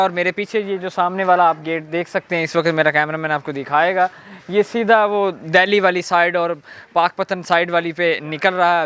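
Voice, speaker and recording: A man with a Pakistani accent presenting a walk-through documentary on location, explaining in a halting way. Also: sits high, pushed and bright, smooth. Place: on location in an old fort's streets.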